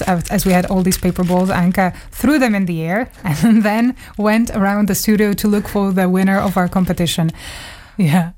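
Radio woman telling funny memories, laughing here and there, mid pitch. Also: slight background noise. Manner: lively storytelling, laughs often, some fillers. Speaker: radio editor.

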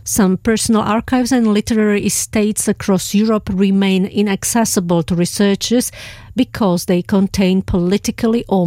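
A woman hosting a radio discussion, putting measured, clear questions to her guests and reading scripted narration. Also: medium pitch, mellow, no room sound. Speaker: radio host and moderator. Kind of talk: panel moderation and scripted news narration.

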